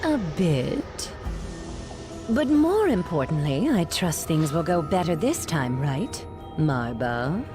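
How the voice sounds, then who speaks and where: A woman playing a cool, scheming demon villainess in a game, measured and commanding. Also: deep voice, sing-song, over music, exaggerated voice. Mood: calculating.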